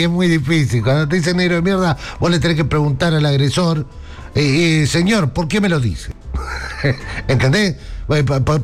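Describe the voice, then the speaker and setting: A man with an Argentine accent giving a sarcastic political monologue, mocking and laughing at his own jokes while talking straight to the listener. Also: fairly high pitch. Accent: Argentine Rioplatense. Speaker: political commentator.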